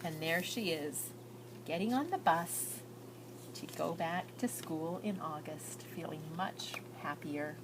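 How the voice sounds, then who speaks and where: A woman reading a children's picture book aloud as storytelling, with expressive phrasing and softly trailing sentence endings. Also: sits low, slow pace, noisy background.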